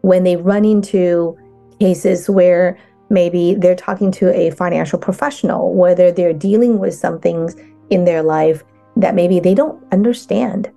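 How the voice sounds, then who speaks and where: A woman speaking as a guest coach in a casual interview, talking in halting bursts with many restarts. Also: fairly low pitch, vocal fry, dark tone, soft background music.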